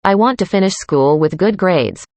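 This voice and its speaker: A woman reading a student's part in a scripted classroom conversation, calm and plain. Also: lowish voice, normal speed, no room sound. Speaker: student in a dialogue.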